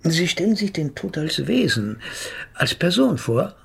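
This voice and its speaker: An actor playing a measured, sceptical psychotherapist in a radio play, speaking in a formal, pedantic, hedging manner. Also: male voice, fairly high pitch, sing-song, creaky, husky, exaggerated voice. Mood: measured, probing. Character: measured, sceptical male psychotherapist analysing his patient.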